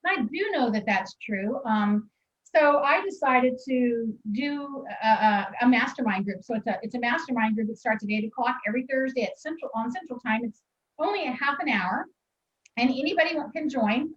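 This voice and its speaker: A woman from Kansas with a Kansas accent, hosting an interview as a business coach and chatting in a friendly, relaxed way. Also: a little high, moderate pace, muffled recording. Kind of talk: interview over video call.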